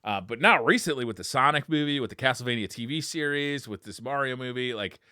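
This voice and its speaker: A man's voice: mid-range voice, fast, sharp tone, smooth, no room sound, clean studio sound. A man co-hosting a podcast in casual, conversational chat. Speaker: podcast co-host. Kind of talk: casual chat about games and movies.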